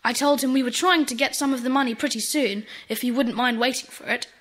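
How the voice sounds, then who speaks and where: An eager, polite British schoolboy speaks in a high voice. The delivery is earnest, in the manner of a children's audio play. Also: female voice, steady tone, fast talker, a bit bright. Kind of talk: children's audio drama acting.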